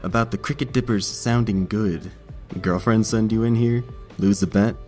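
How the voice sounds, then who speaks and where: A man playing a sleepy, laid-back badger fast-food cashier with a lazy, casual drawl in an audiobook. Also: lowish voice, fairly even intonation, a little mellow, soft background music, natural voice. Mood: mellow, friendly. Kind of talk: audiobook character acting.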